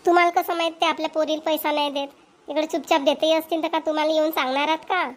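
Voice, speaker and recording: A woman's voice: high voice, level tone, fast, natural voice. A woman playing a spoiled, argumentative young wife in a cartoon, whiny and defiant, with a Vidarbha Marathi accent. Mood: demanding. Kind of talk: amateur cartoon voice acting.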